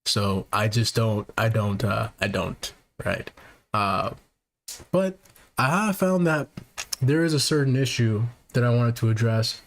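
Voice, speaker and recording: Soft-spoken man, halting and filler-heavy. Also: on the low side, slight background noise. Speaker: podcast host; technician. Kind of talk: casual monologue to viewers.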